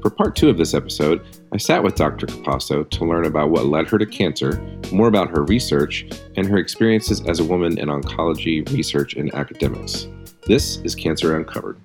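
A man reading a scripted podcast introduction in a measured way. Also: very deep voice, expressive. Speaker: podcast host. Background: faint guitar music.

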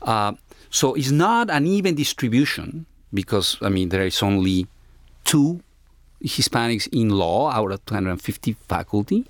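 A middle-aged man from Ecuador with an Ecuadorian Spanish accent, speaking reflectively as an interviewee in a halting, searching way. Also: lowish voice, very animated, dry recording. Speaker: interviewee, university academic.

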